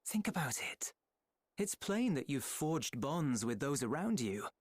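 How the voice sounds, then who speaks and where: A man voicing an earnest, steady companion in a game cutscene, speaking in an encouraging way. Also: mid-range voice, measured pace, no echo, clean studio sound. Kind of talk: video game cutscene dialogue.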